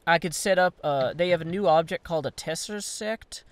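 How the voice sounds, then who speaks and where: Lively man chatting over a game. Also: fairly high pitch, brisk, dry recording. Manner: rambling, thinks aloud while building. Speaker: game player. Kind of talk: casual gameplay chat.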